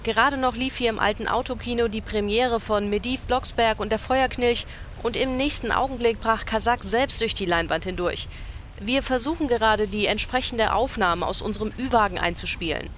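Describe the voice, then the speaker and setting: A woman playing an excited female field reporter delivering urgent news in a parody audio drama. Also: fairly high pitch, normal speed, a little hiss or hum, lightly acted.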